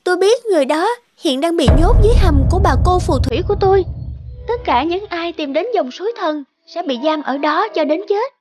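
A kind young peasant girl from a cartoon fairy tale. Her voice is high, earnest and gentle, yet brave, with music behind it. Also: expressive, a little fast.

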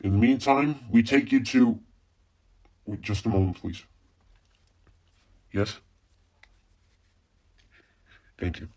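A man playing an earnest radio news announcer in a radio play, formal and measured. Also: fairly low pitch, a little mellow. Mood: urgent, composed. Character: earnest male radio news announcer breaking grave bulletins. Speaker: amateur radio play performer. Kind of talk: radio news bulletin acting.

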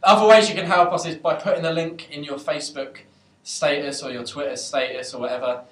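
A man's voice: fairly high pitch, fairly even intonation, brisk, fairly bright tone. A young man from a band, rambling and jokey as he promotes a competition in a casual video. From United Kingdom. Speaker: band member. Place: dark room, band seated on a couch. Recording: echoey room from far off.

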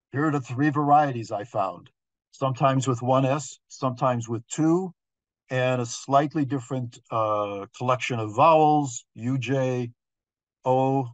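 A man's voice: mid-range voice, no echo. Man giving an informal, hesitant lecture. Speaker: guest lecturer on genealogy.

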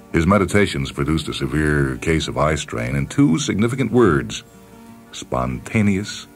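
Very deep male storyteller over music. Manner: deliberate, wry, theatrical phrasing with pauses. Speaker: radio short story reader. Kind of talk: dramatic scripted story narration over music. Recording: music bed under narration. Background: background music with guitar.

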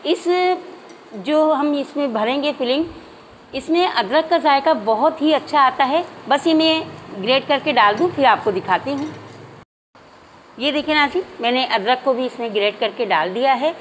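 High-voiced woman calmly teaching a recipe. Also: normal speed, slight background noise, rough recording. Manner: calm, methodical, addresses viewers directly. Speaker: cooking channel host. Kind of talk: step-by-step cooking instruction. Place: home kitchen, hands only on camera.

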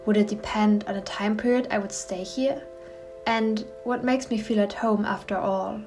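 A woman narrating a scripted voice-over in a calm, reflective way. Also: mid-range voice, moderate pace. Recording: voice-over with music under.

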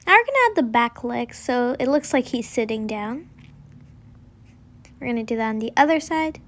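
A woman's voice: fairly high pitch, lively intonation, normal speed. A young girl narrating a step-by-step drawing tutorial in a casual, simple way. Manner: simple, informal instruction with frequent "like".

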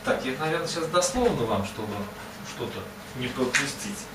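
A man's voice: medium pitch, lively intonation, normal speed. A man telling a comic story to an audience in a chatty, digressive and self-mocking way. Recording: roomy, distant mic, ambient noise.

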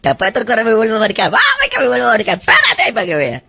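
A man with a Colombian Caribbean accent hosting a radio prank call, loud and teasing, repeating his phrases. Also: high voice, wildly swooping pitch, racing, gravelly. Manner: loud, taunting, repeats himself. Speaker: radio prank caller and host.

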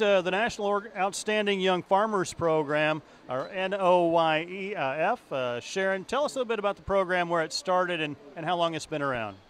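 A man's voice: high voice, fairly bright tone, a little hiss or hum. A middle-aged male farm broadcaster interviewing a guest on a handheld mic in an exhibition hall, with frequent fillers. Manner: conversational with fillers. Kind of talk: field interview.

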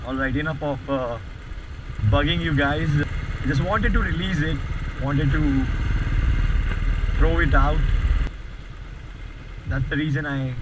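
A man's voice: fairly high pitch, takes it slowly, pauses often. A young man with an Indian accent talking candidly and casually, vlogging while riding a motorcycle outdoors. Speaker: motovlogger. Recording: loud motorcycle engine and outdoor noise.

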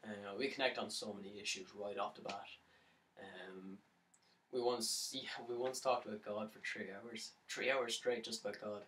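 A man performing a dramatic monologue as a lovesick, despairing young man, halting and stammering, on the edge of mania. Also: lowish voice, fairly even intonation, a bit bright, a little hiss or hum, close to an everyday voice. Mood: wounded, wistful. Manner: halting, stammering, nervous laughs breaking into anguished outbursts. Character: lovesick, despairing, manic young man pleading his case. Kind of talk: dramatic monologue for an audition tape. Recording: roomy pickup, distant from the mic.

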